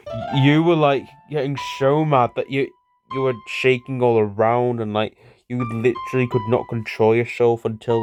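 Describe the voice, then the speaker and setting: A man plays a bickering cartoon buddy with a mid-pitched voice. The talk rambles and repeats itself casually, like squabbling friends trading old stories. Music plays clearly behind it. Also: darkish tone, lightly acted. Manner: rambling, repetitive, conversational. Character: bickering, sweary cartoon buddy reminiscing about mishaps. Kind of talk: casual improvised-sounding cartoon dialogue.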